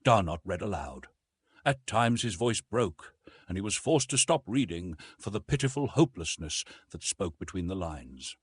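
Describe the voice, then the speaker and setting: A man's voice: low voice, big pitch swings, normal speed, husky, dry recording. A man giving scripted audiobook narration of a classic adventure novel.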